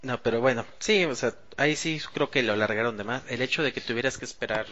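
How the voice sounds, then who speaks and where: Bright-voiced man, emphatic. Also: medium pitch, fast, dull audio. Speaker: podcast panelist. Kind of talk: casual gaming chat.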